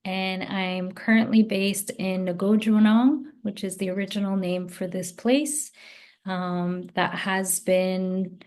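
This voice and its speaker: A woman from Afghanistan hosting an online meeting, speaking thoughtfully with many pauses and ums. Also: medium pitch, level tone, darkish tone. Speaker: host and circle coordinator. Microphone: video call.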